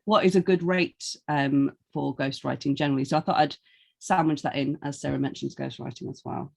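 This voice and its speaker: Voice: mid-range voice, fairly even intonation, brisk, gravelly. A co-host relaying listener questions in a chatty, jokey manner. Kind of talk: casual chat.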